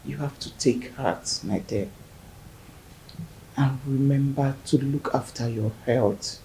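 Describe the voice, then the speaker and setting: A woman playing a widow who gently comforts a grieving friend in a soothing, motherly way. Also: rumbling low, takes it slowly, heavily acted, poor audio. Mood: consoling. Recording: faint background noise.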